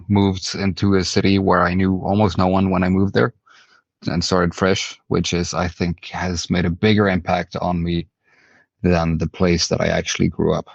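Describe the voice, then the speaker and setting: Musician guest, very low flat gravelly voice, dry muffled sound. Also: male voice, dry recording. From Norway. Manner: rambling, repeats words while thinking. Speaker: band member, guest. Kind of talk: casual interview answers.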